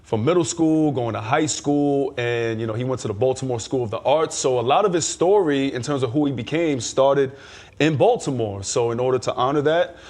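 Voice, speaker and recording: A man with a medium-pitched voice speaks as a panelist on a culture news show. He is casual and opinionated, using slang as he lays out the background in detail. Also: brisk, darkish tone. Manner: conversational, slangy, explains in detail.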